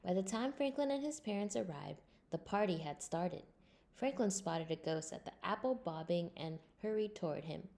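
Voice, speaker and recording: A woman reading a scripted children's storybook aloud in an expressive way. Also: fairly low pitch, normal speed, vocal fry. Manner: expressive, acts out character lines. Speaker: storybook reader.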